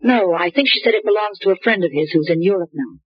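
A woman's voice: mid-range voice, expressive, fast talker, breathy edge, no room sound. A worried young woman. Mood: anxious. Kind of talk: 1950s radio drama acting. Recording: dull old radio recording.

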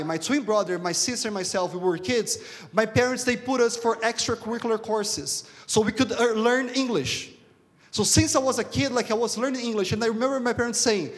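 A man from Brazil with a Brazilian accent and Portuguese as his first language, preaching a sermon in an emphatic, repetitive way with rhetorical questions. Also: high voice. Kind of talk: sermon to a congregation. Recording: reverberant hall sound.

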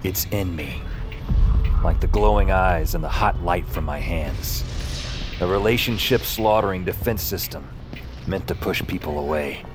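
A man's voice: low voice, unhurried. An actor playing an anguished, self-deprecating hero who narrates his nightmare in short, brooding phrases in an audio drama. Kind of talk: first-person noir-like audio drama narration. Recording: loud music bed under voice.

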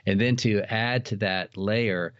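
A man's voice: fairly low pitch, a little slow, clean tone. A man being interviewed, telling anecdotes in a casual, conversational way. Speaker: interview guest, haunted house operator. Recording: dull lo-fi sound, roomy echo.

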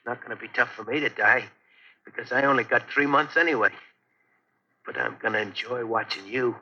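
Sardonic, sharp-toned ex-convict. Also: male voice, medium pitch, breathy edge, lo-fi sound, full character voice. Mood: cruel, gloating. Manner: taunting, deliberate, sardonic. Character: bitter, calmly vengeful dying male ex-convict. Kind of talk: 1940s radio thriller acting. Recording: vintage 1940s radio recording.

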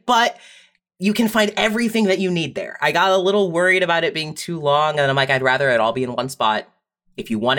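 A woman's voice: low-pitched, a bit bright. A woman in her late twenties chatting casually as a guest on a show. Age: late 20s. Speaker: guest, audio fiction writer and producer. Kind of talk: casual chat, plugging social links.

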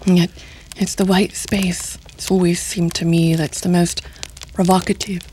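Actress as seductive middle-aged female spymaster, low voice, teasing, formal diction, audio drama, some background noise. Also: level tone, moderate pace, warm tone, natural voice, low-quality recording. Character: sly, seductive mature female intelligence handler.

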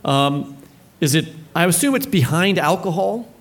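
A man's voice: fairly high pitch, expressive, moderate pace. A man co-hosting a live interview, moderating and asking questions in a casual conversational style. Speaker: co-host and moderator.

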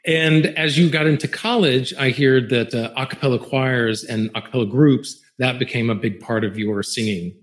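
A man hosting a virtual interview, introducing guests and asking questions. He keeps affirming his guests as they answer. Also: mid-range voice. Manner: affirming, quick to agree. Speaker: interview host. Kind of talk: virtual red carpet interview.

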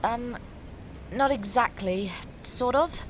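A woman's voice: a little high, slow, a little hiss or hum, poor audio. A woman playing an anxious, polite, naive young woman in an audio drama, fretting hesitantly and apologetically. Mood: fretful.

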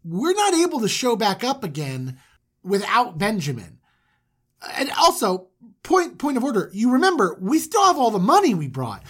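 Someone doing a rambly flustered son pleading with dad, high pitched, slangy comedy. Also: wildly swooping pitch, moderate pace, breathy edge. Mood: anxious pleading. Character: flustered, pleading grown son reporting to his father.

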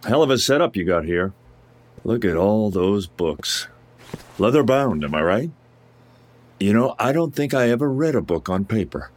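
A man's voice: fairly low pitch, very animated, moderate pace. A man playing a mocking, swaggering, blunt ex-Marine enforcer in an audio drama. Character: cocky, needling, foul-mouthed ex-Marine tough guy. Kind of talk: audio drama acting, taunting monologue.

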